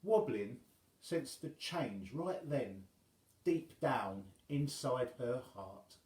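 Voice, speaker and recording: A man reading a rhyming children's story aloud as an expressive storyteller. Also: mid-range voice, very slow, reverberant.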